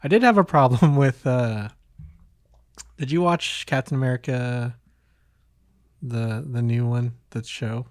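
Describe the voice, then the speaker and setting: A man with a mid-pitched voice talks casually, like a podcast host. He feels his way through thoughts aloud, rambling and hesitant, in a very dry recording with no room sound. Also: measured pace, pauses often, no echo. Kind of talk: casual chat.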